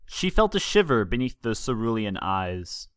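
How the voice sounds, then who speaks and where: Guy reading a story out loud, dramatic, really animated pitch, dry sound. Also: normal speed, clear, resonant tone, no room sound. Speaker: fan fiction reader. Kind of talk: dramatic scripted story narration.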